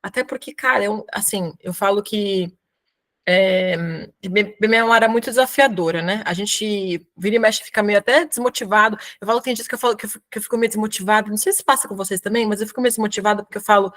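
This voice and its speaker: A woman in her thirties with a São Paulo accent speaks at a medium pitch. She gives a relaxed, informal webinar talk, full of slang and hesitant fillers. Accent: São Paulo Portuguese. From São Paulo, Brazil. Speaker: guest speaker presenting to a professional community. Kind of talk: casual live webinar talk.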